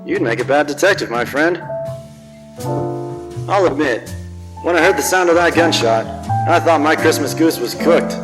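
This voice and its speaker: An actor playing a world-weary, wisecracking private detective in a noir radio drama, tough, slangy and wry. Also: male voice, on the high side, moderate pace, pauses often, close to an everyday voice. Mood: wry, confiding. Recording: long hall reverb, music behind.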